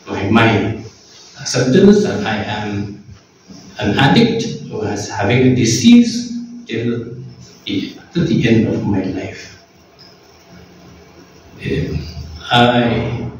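A man giving an unscripted recovery testimony, hesitant and halting, often repeating words and restarting. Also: mid-range voice, darkish tone, slight background noise. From India. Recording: echoey room from far off.

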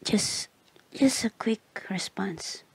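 Woman acting a slow, blunt tsundere girl. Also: mid-range voice, creaky, slightly rough, dry recording, full character voice. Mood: secretive, worried. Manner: hesitant, blunt, often dropping into whispers. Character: tsundere girl, childhood friend, flustered and blunt. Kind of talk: ASMR roleplay.

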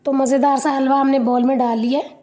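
Lady cooking host talking thru a recipe step by step, high voice, soft mellow tone. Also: quick pace. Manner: calm step-by-step instructions. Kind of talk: cooking tutorial voice-over.

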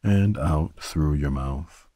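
A man guiding a sleep meditation in a gentle, soothing manner, leaving long pauses between short phrases. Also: very deep voice, no room sound. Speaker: meditation guide. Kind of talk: guided sleep meditation narration.

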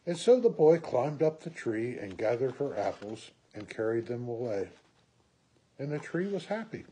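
A man in his sixties reading a children's picture book aloud at home with slow, deliberate, expressive phrasing. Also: medium pitch, a little hiss or hum, low-quality recording. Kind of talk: read-aloud children's story. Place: small room at home, indoors.

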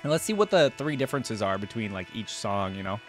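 A man's voice: fairly low pitch, sing-song, fast talker. A man in his twenties chatting casually to viewers as a reaction host, in loose, slangy speech, close on a desk microphone. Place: small indoor home streaming setup. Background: electronic music.

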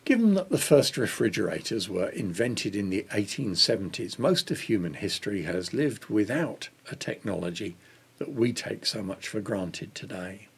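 An older man reads a devotional text aloud in a measured manner. His voice is fairly low and husky, with a strong creak running through it. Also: expressive. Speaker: reader of a church Lent devotional. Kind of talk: reading a devotional book aloud.

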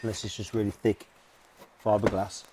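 A man's voice: on the low side, level tone, moderate pace, very noisy. A British man giving a casual hands-on how-to commentary, informally thinking aloud as he works. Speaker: DIY vehicle vlogger.

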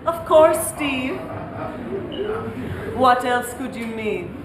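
An actress playing an arch, suspicious professional wife with a Jamaican accent, sardonic and measured in a stage comedy-drama. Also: female voice, high-pitched, lively intonation, exaggerated voice. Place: indoor theatre stage before an audience. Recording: echoey distant theatre, audience, background noise.

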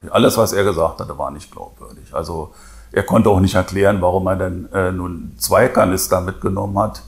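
Gravelly, low-voiced older man. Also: fairly even intonation, brisk. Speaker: defence lawyer, interviewee. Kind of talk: interview answer. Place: office indoors. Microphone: lapel.

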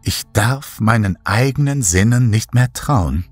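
A man narrating a horror audiobook in the first person, measured, somber and suspenseful, with dramatic delivery. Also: fairly low pitch, animated, no echo, faint music behind.

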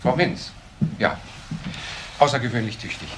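An actor playing a cool, disciplined professor in a German crime radio drama, speaking in a measured, formal way. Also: male voice, mid-range voice, very animated, fairly bright tone, slight background noise, low-quality recording. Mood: formal, brisk. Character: disciplined, cool professor, bitter about his wife.

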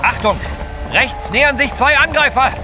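An actor playing a polite, unflappable English gentleman adventurer in an audio drama, with formal phrasing. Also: very high voice, moderate pace. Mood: alarmed. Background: dramatic music.

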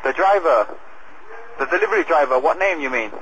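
A man handles a takeaway order by phone. His voice is fairly high. There is faint noise on the line behind him. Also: lively intonation, a little fast, a bit bright. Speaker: takeaway worker taking orders. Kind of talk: business phone call. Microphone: telephone. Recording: phone call with background noise.

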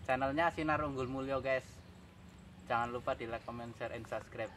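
A man's voice: mid-range voice, fairly even intonation, normal speed, fairly bright tone. Young man chatting outdoors, noisy audio. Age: young adult. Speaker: vlogger selling his camera gear. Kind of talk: casual chat. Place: outdoors on grass by a rice field.

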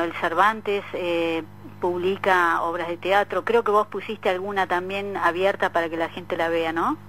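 A woman with a Buenos Aires accent talks casually as a radio interviewer. Her pitch is average for a woman, and a faint noise sits behind her voice. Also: fairly bright tone, rough recording. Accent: Buenos Aires Argentine.

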